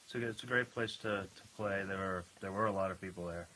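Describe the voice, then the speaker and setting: A man from the band chatting casually about gigs, halting and repeating his words. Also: low voice, sharp tone, slight background noise, poor audio. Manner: hesitant, repeats words, short agreeing answers. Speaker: band member. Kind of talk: casual chat.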